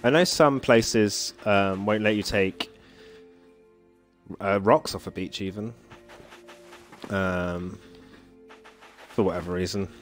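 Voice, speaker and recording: Male streamer, lower voice, laid-back musing commentary with light chuckles, faint game music underneath. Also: slow pace, long pauses. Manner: relaxed, musing aloud, chuckles often. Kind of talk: casual gameplay commentary, reading game text aloud. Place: home, at the computer.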